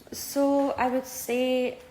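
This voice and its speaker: A woman's voice: high voice, little pitch movement, very slow, a little mellow, roomy sound, a little hiss or hum, low-quality recording. A Scottish woman in her twenties answering interview questions casually, often hesitating with fillers and restarts. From Scotland. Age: 20s.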